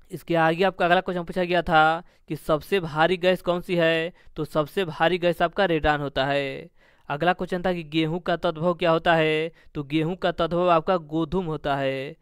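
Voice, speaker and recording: High-pitched man, crisp and clear. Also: fairly bright tone, no echo, clean studio sound. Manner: methodical, repeats set phrases before each question and answer. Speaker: exam-prep teacher. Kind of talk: exam question-and-answer lesson.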